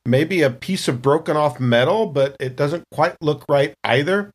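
A man's voice: fairly high pitch, normal speed. A man narrating a tabletop role-playing scene in a casual, improvised storytelling style.

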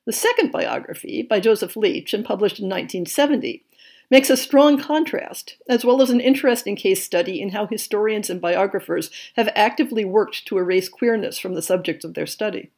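A woman hosting a podcast, reading a scripted monthly update in a measured, enthusiastic way. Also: mid-range voice, lively intonation, darkish tone. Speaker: podcast host.

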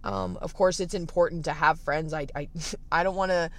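A young man in his late teens with a raspy voice, candidly thinking aloud in a casual livestream from the beach, full of fillers and restarts. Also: fairly high pitch, fairly even intonation, normal speed, a bit bright. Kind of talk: reflective casual livestream monologue.

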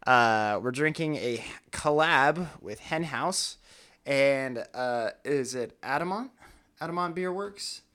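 A man's voice: mid-range voice, slow, clear, resonant tone. A man co-hosting a casual podcast, a teacher chatting and laughing as he tells stories. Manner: laughing, animated storytelling. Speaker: podcast co-host. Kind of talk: casual chat.

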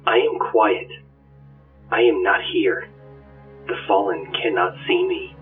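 A man voicing a solemn, obsessive scout in a deliberate, intense audiobook monologue with halting phrases. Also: on the low side, wildly swooping pitch, far from the mic, phone-quality sound, exaggerated voice. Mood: secretive, intense. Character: solemn, obsessive male scout stalking his enemies. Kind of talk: dramatic first-person audiobook monologue. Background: music.

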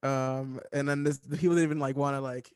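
Fast-talking man in casual chat. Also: medium pitch, level tone, a little mellow, clear, resonant tone, no echo, clean studio sound. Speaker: comedy podcast cast member.

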